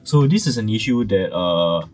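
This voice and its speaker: A man's voice: on the low side, expressive, moderate pace, soft background music. A young Singaporean man with a Singaporean English accent, a guest telling customer stories in a casual talk show chat. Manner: storytelling, recounting customer anecdotes at length. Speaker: guest, audio brand founder.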